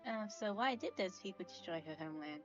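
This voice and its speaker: A woman's voice: mid-range voice, expressive, brisk, highs cut off. A woman livestreaming a game, giving casual spoken reactions. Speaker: streamer playing a game. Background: game music.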